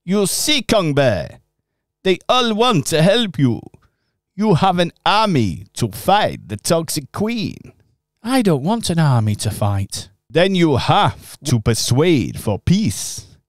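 A man acting in a local radio pantomime, earnest and expressive in his storytelling. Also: on the high side, slow pace, dry recording, clean studio sound. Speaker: pantomime narrator and actor.

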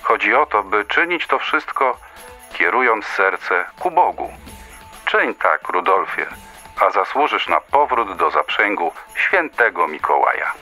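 A man's voice: fairly low pitch, sing-song, a little slow, pushed and bright, soft background music, full character voice. An actor playing a kindly, didactic holy man who preaches in a radio play. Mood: earnest, exhorting. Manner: didactic, question-and-answer preaching.

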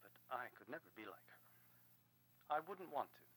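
An actor playing a sly, worldly cult priest in a video game, talking in a knowing, insinuating way. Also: male voice, mid-range voice, very animated, normal speed, fairly bright tone, slightly rough, poor audio. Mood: wry. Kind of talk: dramatic game dialogue.

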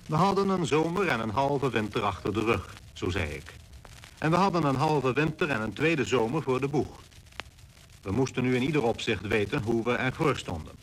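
Male actor doing an earnest expedition leader in an old radio play, formal n measured, bit of noise. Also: mid-range voice, animated, natural voice, low-quality recording. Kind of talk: scripted radio drama narration.

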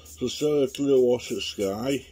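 A man with a croaky voice from an infection, chatting in a rambling way as he demonstrates watercolour painting in his living room, recorded by a camera on the table. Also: mid-range voice, a little slow, warm tone. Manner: rambling, chatty, talks to himself while painting. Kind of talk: casual painting demo commentary. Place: living room at home, indoors. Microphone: camera on the table, speaker off-screen.

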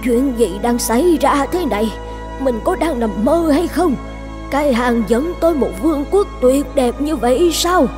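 Kind elderly grandmother midwife, high voice, gentle and earnest, cartoon folktale, music underneath. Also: animated, unhurried. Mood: anxious, astonished. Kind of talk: animated folktale dubbing.

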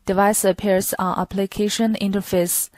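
A woman reading a scripted instructional product tutorial in a flat, even delivery. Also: medium pitch, moderate pace, no echo.

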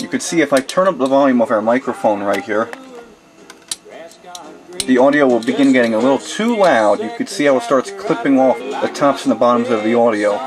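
A man giving a methodical step-by-step tutorial at his home desk, talking plainly as he works through the steps. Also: mid-range voice. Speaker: tutorial host. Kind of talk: step-by-step how-to demonstration. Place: home desk with computer and mixer.

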